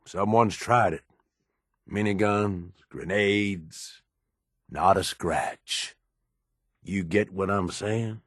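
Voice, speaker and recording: Gruff american raider for a game, low voice that swings around a lot, blunt and impatient, super dry recording. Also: male voice, no room sound. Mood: wry, pushy. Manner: blunt, streetwise, pushy. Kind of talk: video game character dialogue.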